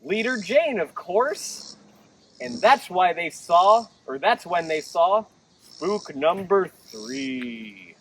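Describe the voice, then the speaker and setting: Animated, high-pitched man reading a storybook. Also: takes it slowly, far from the mic, slight background noise. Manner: theatrical, animated. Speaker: children's storytime reader. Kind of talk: expressive picture-book read-aloud.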